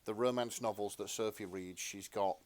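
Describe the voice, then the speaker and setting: A man co-hosting a podcast in casual, comedic chat, talking in a halting, rambling, self-deprecating way. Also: fairly low pitch, fairly even intonation, a crowd in the background. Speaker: podcast co-host.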